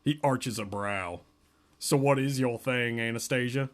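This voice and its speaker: A man doing a mocking, deadpan reading of a romance novel, often breaking off into laughter and asides. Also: mid-range voice, measured pace. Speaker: podcast co-host reading a novel aloud.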